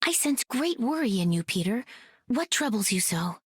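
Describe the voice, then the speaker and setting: A perceptive alien heroine in a video game. Her voice is husky and expressive, calm and formal yet playful. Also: fairly high pitch, moderate pace, dry recording, clean studio sound, full character voice. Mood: probing. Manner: formal, calm, gently teasing.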